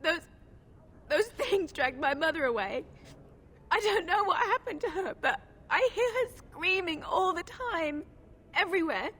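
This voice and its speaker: Frightened young girl, very high-pitched, crying and pleading, fantasy game villager, light background ambience. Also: expressive, unhurried, a bit bright, slight background noise, full character voice. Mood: tearful, distraught. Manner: tearful, pleading. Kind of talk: fantasy video game dialogue.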